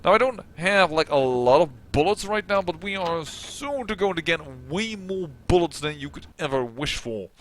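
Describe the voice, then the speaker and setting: A man's voice: on the high side, expressive, normal speed, few pauses, slight background noise. A Dutch man with a Dutch accent, hosting a let's play and rambling in chatty, self-mocking commentary. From Netherlands. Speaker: gaming channel host.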